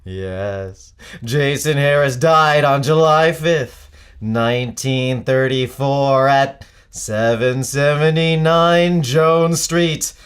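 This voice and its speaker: Man acting slow, smooth, matter-of-fact informant. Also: mid-range voice, lightly acted. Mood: grave, factual. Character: measured man laying out facts of an old death. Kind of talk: audio drama acting.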